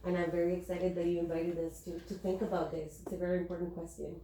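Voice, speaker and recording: A woman from Chile speaking at a panel discussion, thoughtful and halting with many fillers and restarts. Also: on the low side, level tone, dark tone, slight background noise. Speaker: panelist, activist and educator. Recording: echoey room.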